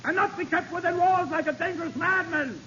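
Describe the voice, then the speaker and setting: Shrill, grandiose mad surgeon villain. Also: male voice, brisk, bright, forceful tone. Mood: grand, defiant. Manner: theatrical, grandiose, agitated. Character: deranged former surgeon, a grandiose, cornered madman villain. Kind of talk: 1940s radio drama acting. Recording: scratchy, dull 1940s radio recording.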